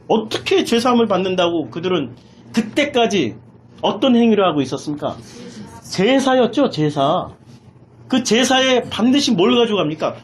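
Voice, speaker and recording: Animated, emphatic preacher delivering a sermon. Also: mid-range voice, a little hiss or hum. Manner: emphatic, repeats phrases, raises voice. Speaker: pastor preaching a Sunday sermon. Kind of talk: impassioned sermon with rhetorical questions.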